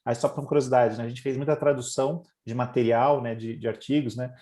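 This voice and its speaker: A man's voice: medium pitch, fairly even intonation, mellow. A man from Brazil hosting a community video call, talking casually with many restarts. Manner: quick, full of restarts and "né".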